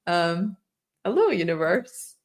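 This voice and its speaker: Female live-stream trading host, slightly low voice going hoarse, casual and meandering delivery. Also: very animated, slow pace. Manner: loose, restarting explanations. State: losing her voice, throat clearing.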